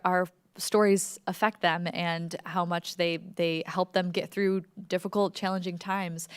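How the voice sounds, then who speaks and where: Smooth-voiced woman presenting informally. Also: on the low side, no room sound, clean studio sound. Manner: conversational, enthusiastic, wordy with frequent "like". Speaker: workshop presenter, fiction podcast creator.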